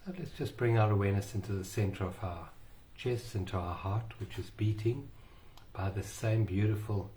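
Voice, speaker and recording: A man guiding a meditation in a slow, soothing way with long pauses. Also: on the low side, warm tone, slight background noise. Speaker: meditation guide. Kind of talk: guided meditation.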